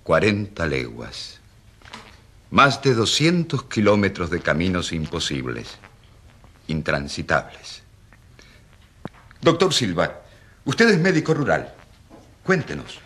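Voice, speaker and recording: A man's voice: sits low, wildly swooping pitch, slow, pauses often, a bit bright, heavily acted. A man playing a defiant, impassioned rural priest on trial in a radio drama, rhetorical and challenging. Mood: grave, indignant. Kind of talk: Spanish-language radio drama acting.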